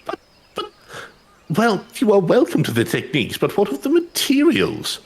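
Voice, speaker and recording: A man's voice: sits high, huge pitch swings, moderate pace, breathy edge, full character voice. A man voicing an elderly scholar in a fantasy game, earnest and anxious, with formal, archaic and hesitant speech. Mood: flustered. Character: elderly male scholar, courteous, earnest, anxious, devoted to research. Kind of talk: fantasy game dialogue read aloud.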